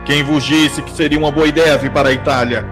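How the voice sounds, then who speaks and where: A man's voice: a little high, fairly even intonation, fast, music in the background, lightly acted. A man with a Brazilian accent playing an arrogant warrior king in an audio drama, sneering and declaiming his challenges. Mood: scornful, challenging.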